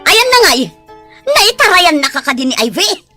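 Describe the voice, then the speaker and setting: A woman's voice: very high-pitched, big pitch swings, normal speed, sharp tone, faint music behind, heavily acted. A woman playing an irritable, demanding, spoiled woman in an Ilocano radio drama. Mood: irritated.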